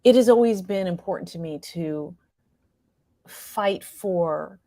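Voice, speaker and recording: An American woman speaking reflectively as an interview guest, thoughtful and halting as she searches for her words. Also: lowish voice, mellow, dry recording, clean studio sound. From New York state, United States. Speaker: interview guest, playwright and performer.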